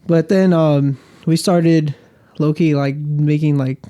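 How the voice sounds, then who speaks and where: Man, twenties, casual slangy co-host, mellow tone, close dry mic. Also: on the high side, fairly even intonation, slow, no room sound, highs cut off. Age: 20s. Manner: easygoing, slangy, many fillers. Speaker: podcast co-host. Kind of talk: casual chat. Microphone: studio podcast mic.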